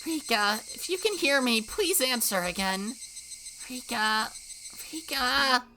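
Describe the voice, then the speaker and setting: A woman voicing a gentle, anxious girlish deity who calls out softly and pleadingly. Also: fairly high pitch, lively intonation, a little hiss or hum, voice pushed up, heavily acted, rough recording. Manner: soft, pleading, drawn-out calls.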